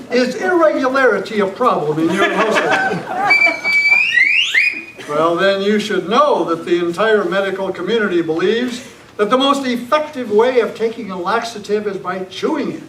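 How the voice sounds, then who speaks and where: High-voiced male old-time radio announcer. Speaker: narrator and announcer. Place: club meeting room, live performance. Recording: echoey noisy meeting room, distant mic.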